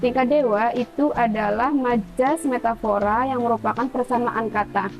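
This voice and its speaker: A young woman from Central Java with a Javanese accent, reading a prepared university presentation in a steady, list-like way. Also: fairly high pitch, moderate pace. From Purbalingga, Central Java.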